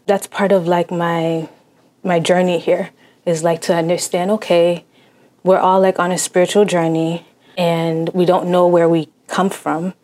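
A woman's voice: lowish voice, level tone, a little slow, a little mellow. A young woman from Massachusetts whose first language is Haitian Creole, speaking reflectively as an interview guest, with frequent fillers. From Cambridge, Massachusetts. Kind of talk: reflective casual conversation.

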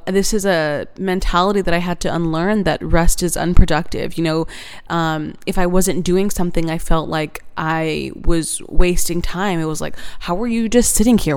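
A young woman in her twenties talks earnestly about faith and rest, in a casual, rambling way full of fillers and small laughs. Her voice sits a little low and sounds smooth and clear. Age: 20s. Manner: earnest, warm-hearted, laughs often, many fillers. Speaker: podcast host. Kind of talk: reflective faith podcast monologue.

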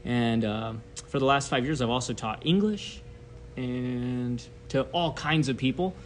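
A man's voice: mid-range voice, unhurried, slight background noise. A man in his thirties who grew up in Japan, a podcast guest and missionary, talking casually and exactly. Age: 30s. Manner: precise, fond of technicalities. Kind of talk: casual chat.

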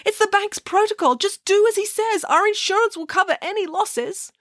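A woman as a nervous, officious storybook bank manager. He pleads anxiously in a high, strained-upward pitch. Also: normal speed, fairly bright tone, no echo, clean studio sound. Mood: anxious, pleading.

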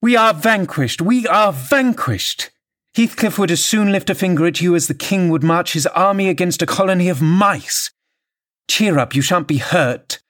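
A man's voice: high-pitched, normal speed, a bit bright, voice pushed up. A man voicing an impassioned, scornful young married woman with an imperious, theatrical manner in an audiobook novel. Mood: mocking. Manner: theatrical, emphatic, exclamatory.

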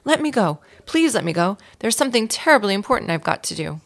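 A woman's voice: mid-range voice, very animated, quick pace, vocal fry, voice pushed up. A woman voicing a small, proud male mouse with a grim, pleading manner in a storybook reading. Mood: desperate pleading.

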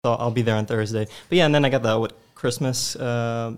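Fast-talking upbeat man in his twenties. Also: mid-range voice, fairly even intonation, clear, resonant tone. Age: 20s. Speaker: podcast guest. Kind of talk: casual chat.

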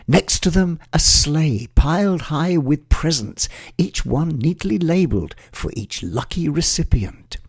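A man narrating a rhyming Christmas tale in a measured, expressive recitation. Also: on the high side, creaky, husky, dry recording, clean studio sound.

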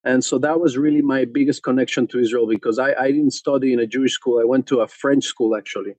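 A man's voice: medium pitch, steady tone, fast, a little mellow, dry recording. Male guest, hesitant storyteller, very dry close sound. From Mexico. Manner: hesitant, many fillers and restarts. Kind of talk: conversational interview.

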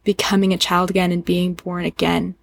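A young woman speaking as a podcast guest in casual, reflective conversation, earnest and searching, often halting as she works out her thoughts. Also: on the low side, flat delivery, gravelly.

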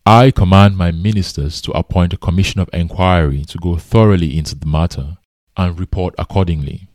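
Man voicing a commanding, deep lion king. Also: brisk, no room sound, studio quality, close to an everyday voice. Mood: regal. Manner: lordly, loud, authoritative.

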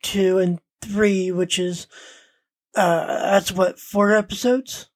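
A woman co-hosting a podcast in casual, unscripted talk, speaking hesitantly and often pausing mid-sentence. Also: mid-range voice, little pitch movement, no echo. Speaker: podcast co-host.

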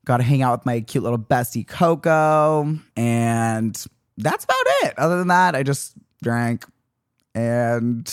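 A young man in his twenties hosts his own podcast alone, chatting in a sassy, animated way. His voice sits at an ordinary middle pitch. The recording is close and dry, with no room sound around it. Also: no room sound. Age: 20s. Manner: sassy, animated rants with mock-indignant quoted voices. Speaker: podcast host. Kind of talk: solo podcast monologue.

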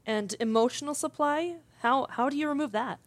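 A woman's voice: high voice, moderate pace, dry recording. A woman acting as an inquisitive, down-to-earth broadcast interviewer in a fiction podcast. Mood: curious, engaged. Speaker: talk show interviewer. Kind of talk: conversational scripted interview acting.

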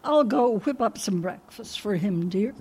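A woman's voice: mid-range voice, lively intonation, a little slow, background noise. A woman playing a sweet, absent-minded older landlady who rambles forgetfully in a radio comedy. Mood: rambling.

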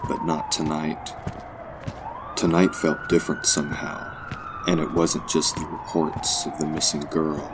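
A man's voice: a bass voice, little pitch movement, a little slow, background noise. A man narrating a scripted horror story in an audio play.

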